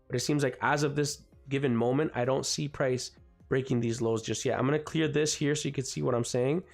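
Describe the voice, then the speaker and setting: A man with a slightly raspy voice from the cold hosting a live trading stream, chatting casually and filling gaps with "guys" and "you know what I mean". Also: mid-range voice, steady tone, brisk. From Canada. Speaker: forex trading livestream host. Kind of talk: live market commentary. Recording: faint music behind.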